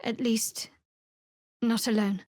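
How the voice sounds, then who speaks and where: A young female cleric of a dark goddess for a fantasy video game. She is wry and secretive, speaking in a measured, guarded way. The sound is dry and close, with no room around it. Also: on the high side, level tone, dry recording. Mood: somber, confiding.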